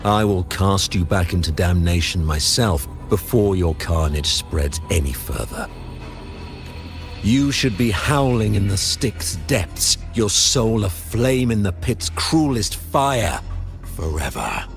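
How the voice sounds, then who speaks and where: Zealous holy judge, deep voice, dramatic swoops, grandiose sermon delivery, video game villainy, faint music behind. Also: male voice, very animated, slow, gravelly, exaggerated voice. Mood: righteous wrath. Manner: solemn, grandiose preaching. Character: zealous, wrathful holy man pronouncing divine judgment. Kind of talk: theatrical video game voice acting.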